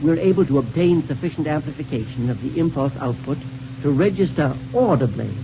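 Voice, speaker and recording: An enthusiastic doctor-inventor of old science fiction radio. His fairly high voice lectures with fussy, deliberate care and proud pleasure. The sound is thin and boxed-in, with heavy hiss and hum. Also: animated. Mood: explaining proudly. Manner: pedantic, deliberate, hesitant uh's and well's. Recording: scratchy, humming old radio, narrow band.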